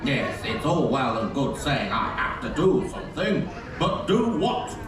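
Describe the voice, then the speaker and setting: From far off in an echoing theatre, a man plays a stubborn, greedy British Lord Mayor for a puppet show. He blusters pompously with a lively, swinging pitch. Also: a little slow, crowd noise. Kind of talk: children's puppet show acting. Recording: echoey theatre from far off, noisy.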